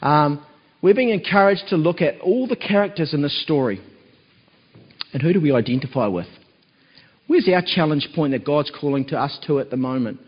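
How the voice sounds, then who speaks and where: Croaky New man, casual stand-in preacher, lots of ums, recorded dull in a reverberant church hall. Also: a little high, animated, moderate pace. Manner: chatty, with many ums and tag questions. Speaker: lay preacher standing in for the pastor.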